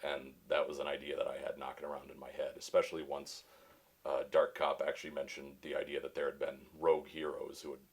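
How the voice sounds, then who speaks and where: Super deep-voiced voice actor, chatting casually, dry humor. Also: male voice, completely flat delivery, a little fast, vocal fry, husky. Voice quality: very deep, resonant voice. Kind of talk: casual livestream interview.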